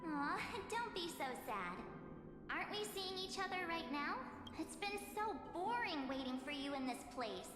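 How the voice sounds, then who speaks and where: A perky teenage girl sidekick from an anime-style game, high and swooping. She gushes and nags with cheerful impatience, in an echoey space with music behind. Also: very animated, normal speed. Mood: excited, friendly. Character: bubbly, chatty teenage girl companion, cheerfully pushy.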